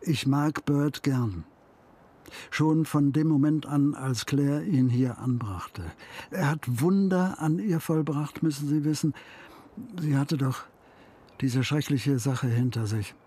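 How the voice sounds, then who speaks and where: An actor playing a troubled, grieving middle-aged father in a radio crime drama, halting and insistent as he searches for words. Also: on the high side, mellow, slightly rough.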